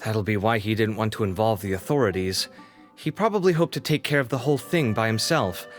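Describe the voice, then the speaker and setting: A man's voice: fairly low pitch, fairly quick, soft background music, close to an everyday voice. A man voicing a candid young man in a video game, casual, emphatic and opinionated. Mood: speculating. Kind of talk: English video game dub acting.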